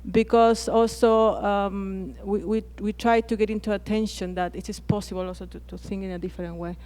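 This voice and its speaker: A woman's voice: medium pitch, steady tone, normal speed, darkish tone. A Chilean woman whose first language is Spanish, speaking on a conference panel with frequent hesitations and restarted words. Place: conference plenary hall.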